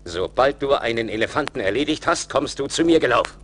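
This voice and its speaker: A rich, greedy slave master voiced by a male actor gives curt, commanding orders in an audio play. His voice sits at a middle pitch. It comes from an old vinyl record with faint noise. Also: expressive, fairly quick, a bit bright, lightly acted.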